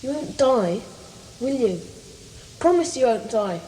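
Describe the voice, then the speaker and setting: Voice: medium pitch, animated, slow, warm tone. A performer playing an anxious, apologetic schoolboy, earnest and pleading, in a radio play. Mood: pleading, frightened. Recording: echoey long reverb, faint noise.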